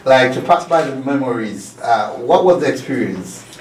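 A man's voice: medium pitch, lively intonation, normal speed, a little mellow, slight background noise. A man with an East African accent hosting a casual interview, switching between languages as he chats. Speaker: radio show host. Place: small radio studio. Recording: roomy echo, distant mic.

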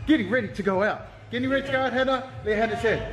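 A man's voice: very high voice, very fast, a bit bright. A man in his thirties from Samoa, chatting casually to a handheld camera in an upbeat way and tagging his lines with "yo". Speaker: vlogger and salon owner. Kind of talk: casual vlog chat to camera. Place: parked car, then a hair salon. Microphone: handheld camera held close.

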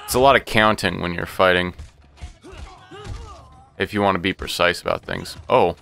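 A man's voice: deep voice, normal speed, vocal fry, pushed and bright, faint music behind. A man giving casual, flippant gameplay commentary, with playful little sound effects. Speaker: gaming video host.

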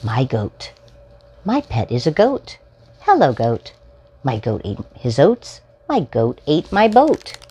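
A woman in her forties reads a picture book aloud for small children. Her voice sits low but swings widely in intonation, and she takes her time over each short phrase. It is a home recording with faint noise behind her. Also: huge pitch swings, warm tone. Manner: simple short phrases, deliberately spaced for preschoolers. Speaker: children's storytime host and reader. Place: small furnished room at home, indoors.

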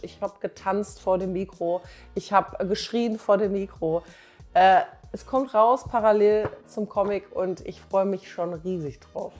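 A woman's voice: mid-range voice, a little mellow. A woman in her forties chatting casually and emphatically to camera, full of enthusiasm, in colloquial language. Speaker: doctor and author presenting her comic. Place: small living room on a sofa, indoors. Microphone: clip-on lapel mic.

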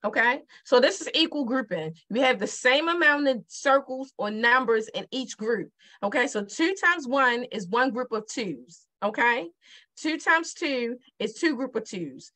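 A woman's voice: a little high, animated, a little slow, pushed and bright. Chatty Baltimore woman teaching math. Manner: chatty, repeats questions to the viewer, digresses then refocuses. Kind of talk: casual whiteboard math lesson.

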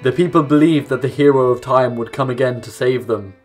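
A man's voice: mid-range voice, brisk. Young man doing casual gaming commentary. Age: young adult. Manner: casual, offhand asides. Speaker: let's play host. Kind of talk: gaming commentary, reading game text aloud. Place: small room at home, indoors. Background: game music with plucked guitar.

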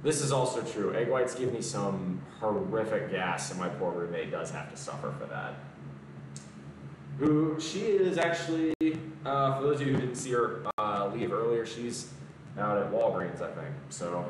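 A man's voice: on the low side, big pitch swings, moderate pace, background noise. A young man chatting casually on a live stream from his home gym, answering comments between exercises. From American South. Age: young adult. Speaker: fitness streamer. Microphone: camera mic at a distance. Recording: roomy garage echo, distant mic.

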